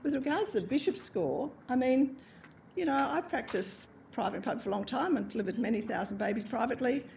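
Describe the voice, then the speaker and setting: A woman chairs a medical panel in a brisk, plain-spoken way. Her voice sits a little high and carries a gravelly fry. Faint room noise sits under her words. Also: expressive, darkish tone. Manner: quick, conversational, plain-spoken. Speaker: panel moderator, obstetrician. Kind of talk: panel discussion.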